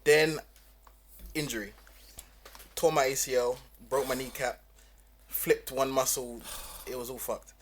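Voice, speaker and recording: A young man, a guest music producer, quietly telling his story in short, plain phrases at a desk mic in a small podcast studio. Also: mid-range voice, fairly even intonation, unhurried, long pauses, slight background noise. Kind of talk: casual podcast chat. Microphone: desk mic with headphones.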